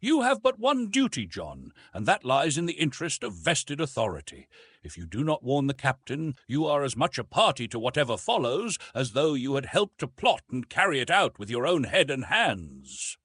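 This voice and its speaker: A male reader puts on a young noble wife in a storybook adventure. She speaks formally and firmly, with a dutiful, principled air. The voice is slightly husky and moves expressively up and down. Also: medium pitch, very animated, normal speed, fairly bright tone, no room sound, clean studio sound. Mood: firm resolve.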